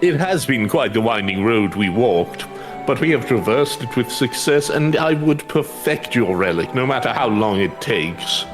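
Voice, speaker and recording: A man's voice: mid-range voice, animated, moderate pace, close to an everyday voice. An elderly male scholar from a fantasy game, acted out by a man, speaks in courteous, archaic phrases. He is earnest and anxious, hesitating as he works through his thoughts, with music playing behind him. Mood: earnest, hopeful. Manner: formal, archaic, hesitant wording. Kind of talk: fantasy game dialogue read aloud.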